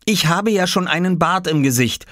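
Storybook big brother, small boy, played by a man: high, animated, bossy, dead serious about his games. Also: normal speed, no echo, clean studio sound. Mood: earnest. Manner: commanding, self-important. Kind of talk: children's storybook character voice.

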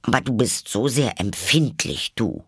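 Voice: medium pitch, expressive, unhurried, fairly bright tone, breathy edge, no room sound, clean studio sound, voice pushed up, full character voice. A reader voicing a curious, polite and eager little girl in a storybook, earnest and exclaiming. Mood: apologetic, pleading.

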